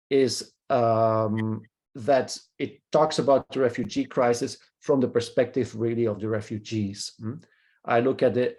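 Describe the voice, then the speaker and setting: A man from Belgium, leading a film discussion over a video call, pausing often with filler sounds and checking in with "hmm?". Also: medium pitch, darkish tone. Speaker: discussion leader, professor.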